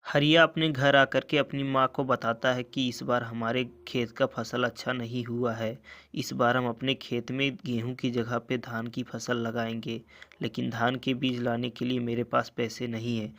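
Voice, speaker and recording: A man reads a scripted moral tale as narrator. His voice is of medium pitch, and he tells it as a storyteller for children would. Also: level tone, normal speed, a bit bright.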